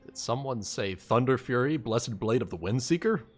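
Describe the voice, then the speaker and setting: Slow-talking man with lively, swinging pitch. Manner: swears casually. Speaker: game master. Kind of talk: casual group chat on a livestream. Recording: music playing behind the voice. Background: music.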